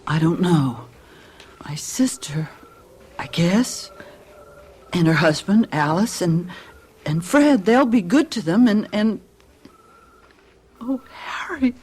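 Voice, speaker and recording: An anxious American mother in a radio drama. Her voice is soft and husky, and she speaks slowly and haltingly. She sounds tender, with grief underneath. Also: fairly low pitch, expressive, full character voice. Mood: fearful, hesitant. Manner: hesitant, halting.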